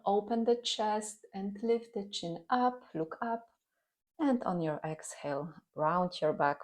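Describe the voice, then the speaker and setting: A woman teaching guided meditation and gentle yoga in a calm, soothing, instructional manner. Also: mid-range voice, vocal fry.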